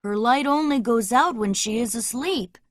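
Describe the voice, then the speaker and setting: A woman voicing a bold, confident boy adventurer who leads other children in an animated children's story, speaking in a commanding, dramatic way. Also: on the high side, normal speed, no room sound, studio quality, close to an everyday voice. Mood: matter-of-fact.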